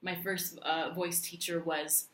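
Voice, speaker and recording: A woman from Puerto Rico vlogging in a chatty, animated way, with lots of fillers and restarts. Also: on the low side, takes it slowly, slight background noise. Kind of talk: casual talk to camera. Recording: echoey room.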